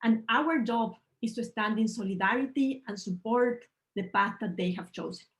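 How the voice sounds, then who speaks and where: Earnest woman from Ecuador presenting. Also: on the high side. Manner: earnest, measured, slightly non-native phrasing. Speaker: panel speaker. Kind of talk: online presentation talk. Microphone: video call.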